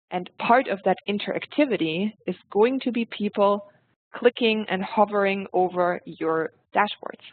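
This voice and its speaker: A woman from Germany with a German accent, presenting a software tutorial webinar in a friendly, explanatory way and speaking straight to her audience. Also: on the low side, no echo. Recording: dry tinny phone-like line.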